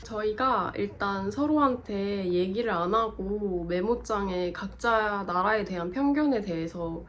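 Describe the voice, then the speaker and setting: A woman's voice: medium pitch, a little slow, a little hiss or hum. Young Korean woman with a cold. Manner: chatty, explaining. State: has a cold. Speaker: co-host of a couple channel. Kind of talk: casual chat.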